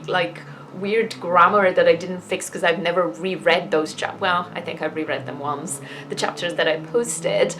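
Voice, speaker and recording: A woman's voice: mid-range voice, slight background noise. A woman chatting casually in a personal vlog, candid and self-deprecating, rambling along with emphatic asides. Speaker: vlogger and fiction writer.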